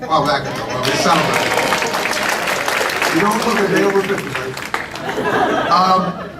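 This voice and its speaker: A man's voice: animated, moderate pace, a loud crowd. Guy giving a talk at a conference, chatty, keeps repeating words, sounds far from the mic. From Puerto Rico. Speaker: speaker. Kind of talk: conference talk to a live audience. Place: conference room. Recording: roomy echo, voice from far off, faint rumble.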